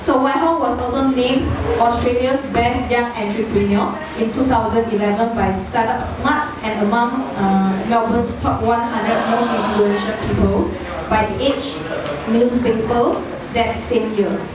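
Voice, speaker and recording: Woman host, formal introduction, higher pitch, distant mic, noisy room. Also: steady tone, a little slow, a crowd in the background. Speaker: event host introducing a speaker. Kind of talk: reading a speaker introduction. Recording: echoey hall, mic far off, noisy.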